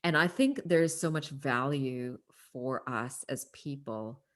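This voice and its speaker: A woman's voice: rumbling low, measured pace, a bit bright. A woman talking through Bible stories in conversation, earnest and emphatic. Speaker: podcast discussion partner.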